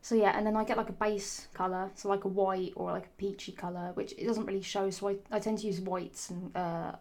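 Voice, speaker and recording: A young woman, her voice hoarse, rambling sassily to camera with frequent swearing and restarts. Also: medium pitch, flat delivery, a little fast, darkish tone. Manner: rambling, sassy, swears often, many restarts. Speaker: vlogger. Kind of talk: rambling casual monologue.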